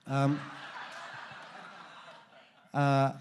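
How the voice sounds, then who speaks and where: A man with an Oklahoma accent introduces himself haltingly, filling pauses with ums. His voice stays flat and even, in a mid register. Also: medium pitch, slight background noise. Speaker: drummer on a panel. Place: cruise ship event room.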